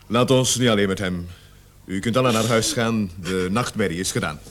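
A low-pitched male police sergeant played by an actor in a radio drama. He is calm and authoritative, questioning with measured, deliberate phrasing. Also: noisy background, close to an everyday voice. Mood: calm, grave. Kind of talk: radio play acting.

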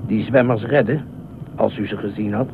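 An actor in a Dutch radio play voicing a fussy, anxious, meddlesome beach regular who speaks formally and insistently. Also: male voice, on the low side, fairly even intonation, moderate pace, phone-quality sound, close to an everyday voice. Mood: polite, probing. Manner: formal, insistent, probing questions. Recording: noisy, thin band-limited old radio recording.

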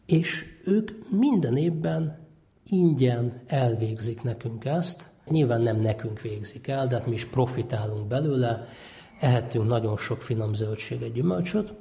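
A man explains informally, as if interviewed, in a dark, relaxed tone. His voice has plenty of creaky fry and sits at an average male pitch.

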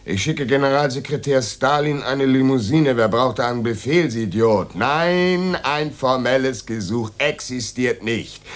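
A man's voice: medium pitch, close to an everyday voice. An actor playing an imperious, sardonic male conductor who gives commanding, dryly threatening orders in a German radio drama.

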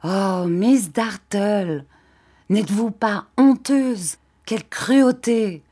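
A female narrator gives voice to an appalled man in a classic novel. He pleads for mercy with drawn-out, reproachful words, slowly and imploringly. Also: mid-range voice, warm tone, breathy edge. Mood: shocked reproach. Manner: supplicating, reproachful. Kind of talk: dramatic audiobook acting of a classic novel.

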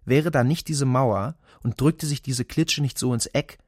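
Male literary reader, medium pitch, measured delivery, clear articulation, scripted prose narration, very dry recording. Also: a little mellow, no room sound.

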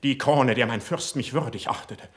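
A man plays a proud, sensitive young court poet in a classical radio drama. His voice is mid-pitched and husky. He declaims his verse with fervour and lofty, high-flown feeling. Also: normal speed, natural voice. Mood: indignant, impassioned. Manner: fervent, lofty, declamatory delivery. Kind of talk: classical German verse stage acting for radio play.

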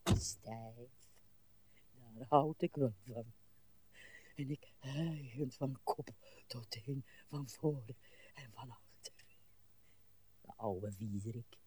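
An actress playing a weary old woman who fusses and complains in a radio play, with plaintive, halting delivery. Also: very deep voice, warm tone, husky, full character voice. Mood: muttering. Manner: plaintive, halting, muttering asides and sudden exclamations. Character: weary, fussing, complaining old lady, stiff and breathless.

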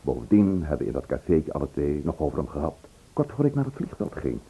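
A man's voice: extremely low pitch, very animated, fast, creaky, dark tone, slightly rough, heavily acted, poor audio. An actor playing a worried, insistent man pressing for the truth in a radio play. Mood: insistent, reproachful. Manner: probing, insistent.

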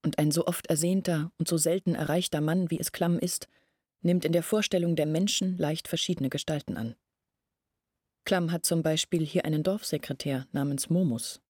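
An actress playing an anxious, reflective village woman in a literary radio play, earnest and deliberate, with a husky, breathy voice. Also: low voice, fairly even intonation, a little mellow, dry recording, studio quality. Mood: reflective, sceptical. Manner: earnest, deliberate, searching, questioning herself. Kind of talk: literary radio play acting, long monologues. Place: dry studio.

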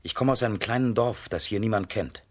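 Man playing a husky, earnest young hero. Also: fairly low pitch, fairly quick, natural voice. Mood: humble, earnest. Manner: earnest, sincere. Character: brave humble young peasant hero, earnest. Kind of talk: children's radio drama acting.

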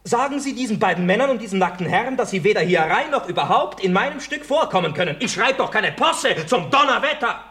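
An excitable, sardonic playwright in a radio comedy. His husky voice swings widely in pitch. He is theatrical and bossy, with a dry irony. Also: quick pace, few pauses, full character voice. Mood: exasperated. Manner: theatrical, dryly ironic, emphatic. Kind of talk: comedic German radio play acting.

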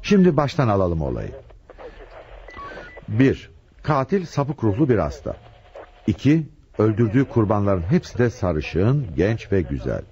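An actor playing a gruff, brooding police chief inspector in a radio drama, authoritative and methodical. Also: male voice, on the low side, big pitch swings, measured pace, pauses often, warm tone. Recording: dull old radio drama broadcast.

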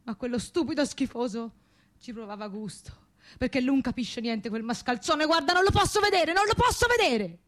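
A woman's voice: high voice, lively intonation, moderate pace. A scornful widow in a naturalistic radio drama. She speaks with a Tuscan accent, blunt and sarcastic, throwing her bitterness straight at whoever is listening. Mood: scornful, indignant. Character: bitter, scornful widow railing at a family friend. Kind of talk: naturalistic radio theatre acting.

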